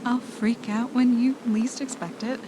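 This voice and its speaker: A woman's voice: a little high, steady tone, unhurried, natural voice. A woman playing a frightened, anxious wife in an amateur audio drama, earnest and questioning. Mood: weary, relieved. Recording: clearly noisy amateur recording.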